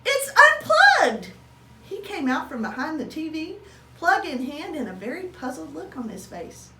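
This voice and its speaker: A woman's voice: high-pitched, lively intonation, moderate pace, a bit bright. A woman reading a children's story aloud as a teacher would, expressively and with acted-out dialogue. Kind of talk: animated children's storybook narration. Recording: roomy, distant mic, faint background noise.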